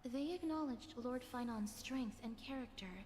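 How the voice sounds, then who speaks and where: A courteous female attendant in a fantasy game, played by a woman, with a fairly high voice. She is earnest and formal, measured in every phrase, with long reverb and clear music underneath. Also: darkish tone, natural voice. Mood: earnest, somber. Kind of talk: scripted game voice acting.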